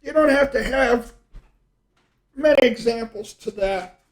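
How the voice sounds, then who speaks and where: A man's voice: very high-pitched, unhurried. A middle-aged man in casual conversation, reminiscing and telling stories in a rambling way, often trailing off mid-thought. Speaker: podcast host and storyteller.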